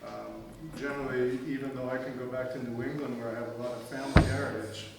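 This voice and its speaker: A middle-aged man talks at an even, ordinary pitch, measured and plainspoken, with a wry dry humor. He sits well away from the microphone in a very echoey room. Clear background noise hangs under his voice. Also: loud music behind the voice. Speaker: discussion participant. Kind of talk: casual roundtable discussion. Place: room with a table, group seated. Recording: distant mic in very echoey room, noisy.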